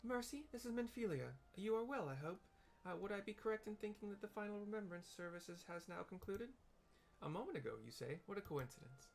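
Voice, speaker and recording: A man voicing a courteous, formal woman leader giving brisk instructions in a fantasy game. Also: high voice, expressive, vocal fry, a little hiss or hum, pitched above the performer's own voice. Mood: polite, brisk. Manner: courteous, formal, gently teasing. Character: polite, brisk woman leader calling by link pearl.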